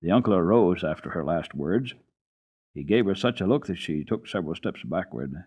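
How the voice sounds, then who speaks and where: A man giving an expressive, dramatic radio reading of a children's classic, with deliberate phrasing. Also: sits low, husky. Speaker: radio storyteller. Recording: dull lo-fi old radio recording.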